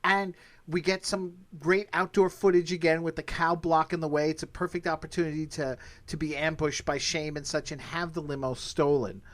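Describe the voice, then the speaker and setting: A man's voice: a little high, level tone, normal speed. A man in casual conversation, commenting as a podcast host.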